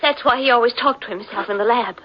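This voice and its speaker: A vengeful young woman of a radio mystery played by an actress speaks in a very high voice. She is accusing, dramatic and resolute. The old broadcast recording is dull and muffled. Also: quick pace, a little hiss or hum, lightly acted. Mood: cold, explaining. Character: vengeful, resolute daughter of a murdered scientist. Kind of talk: 1940s radio thriller acting.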